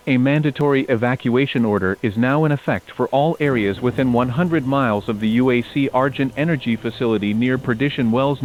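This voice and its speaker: A man playing a stern, impersonal emergency alert announcer, reading commanding official notices through a broadcast filter. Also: mid-range voice. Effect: emergency broadcast phone-like filter. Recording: tinny band-limited emergency broadcast.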